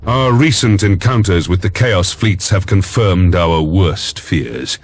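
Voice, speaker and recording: A commanding fleet lord admiral for a game cutscene. The voice is on the low side, formal and resolute, each order measured and authoritative, with faint music underneath. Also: male voice, full character voice. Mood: grave. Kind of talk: military briefing in a game cutscene.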